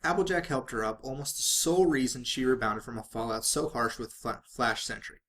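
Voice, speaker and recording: A man's voice: medium pitch, a little fast, a bit bright. A man reading fan fiction aloud as a dramatic narrator, sometimes stumbling and rereading phrases.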